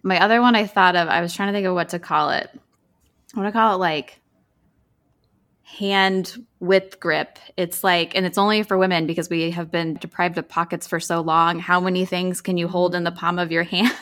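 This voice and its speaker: A woman's voice: lowish voice, steady tone, normal speed, fairly bright tone. A woman co-hosting a librarians' podcast, chatting casually with a giggly, chatty manner. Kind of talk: casual group chat.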